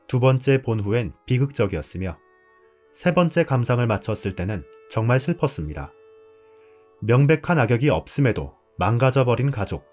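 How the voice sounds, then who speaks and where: A man's voice: medium pitch, a little mellow. A man hosting a scripted review show, narrating and reflecting on a drama in a storytelling style. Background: background music.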